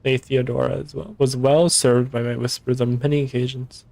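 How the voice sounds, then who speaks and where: A man's voice: mid-range voice, steady tone, a little fast, creaky, darkish tone, no room sound, lightly acted. A man reading as a shaken woman seer. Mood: anxious. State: hoarse, tired voice. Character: troubled young woman psychic seer.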